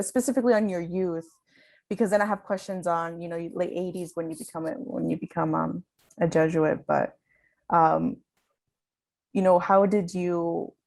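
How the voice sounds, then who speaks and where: A woman's voice: lowish voice, darkish tone. A woman conducting an informal interview, feeling her way through tentative, meandering questions. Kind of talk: informal oral history interview.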